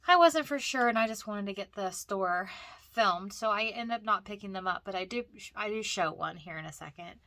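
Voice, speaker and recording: A woman's voice: medium pitch, expressive, normal speed, fairly bright tone, dry recording, clean studio sound. A woman with a cold and a stuffy, nasal voice, chatting casually as she narrates a walk through a thrift store. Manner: chatty, rambling, frequent fillers and restarts.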